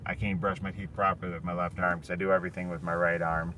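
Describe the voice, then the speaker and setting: A man's voice: sits low, flat delivery, quick pace, far from the mic. A tired, worried man in his thirties talking candidly and rambling a little in a live video recorded on his phone while he drives. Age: 30s. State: tired, foggy. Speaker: vlogger. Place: inside a car on the road. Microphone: phone in a moving car. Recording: loud car noise, voice off-mic. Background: road and car noise.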